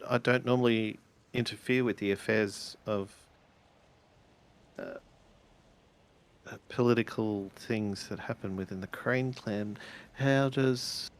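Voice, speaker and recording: A man playing a tabletop roleplaying game, improvising polite in-character dialogue in a hesitant, stammering way. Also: medium pitch.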